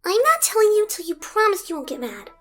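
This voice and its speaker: A woman's voice: very high-pitched, huge pitch swings, quick pace, heavily acted. A woman playing an anxious, stubborn eight-year-old cartoon pony girl with a pleading, childlike manner. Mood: stubborn, bargaining. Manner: pleading, childlike, stammering when in trouble. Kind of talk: animated fan audio drama acting.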